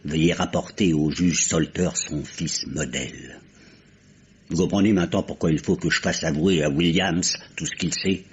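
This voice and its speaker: An actor playing a bitter, grieving old judge bent on revenge, speaking emphatically and haltingly in an old radio mystery style. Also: male voice, low voice, steady tone, slightly rough. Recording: muffled old radio drama recording.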